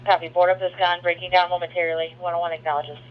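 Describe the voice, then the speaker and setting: A man's voice: sits high, level tone, quick pace. A man working as a police dispatcher, repeating reports back in a clipped, procedural way over radio. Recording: noisy, muffled police radio channel.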